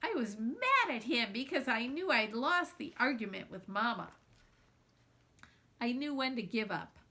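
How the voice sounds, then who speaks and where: A woman reads a children's novel aloud with a high, bright voice. She is expressive and dramatizes the feelings of the young narrator as she goes, telling it like a storyteller. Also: a little slow. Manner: animated, dramatizing dialogue and feelings. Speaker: children's book reader. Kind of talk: expressive read-aloud of a children's novel in a girl's first person.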